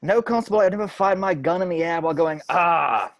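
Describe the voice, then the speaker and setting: A man reading the part of a stern, deadpan police sergeant who goes strictly by the rules. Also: sits high, a little fast, no echo, clean studio sound. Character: stern, rule-bound male police sergeant.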